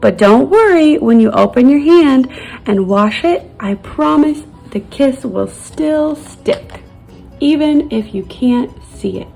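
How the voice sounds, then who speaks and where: Woman as gentle mother raccoon, soft mellow voice. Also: high voice, lively intonation, slow pace, creaky, faint music behind, exaggerated voice, low-quality recording. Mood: loving. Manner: gentle, reassuring, nurturing. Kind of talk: children's storybook read-aloud.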